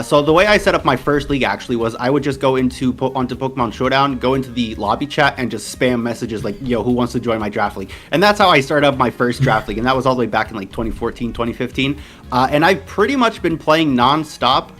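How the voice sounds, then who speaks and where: Laid-back young man with an American accent. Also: medium pitch. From Portugal. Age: 20s. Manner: relaxed, rambling, many fillers and restarts. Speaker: interview guest, competitive game player. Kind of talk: casual interview chat.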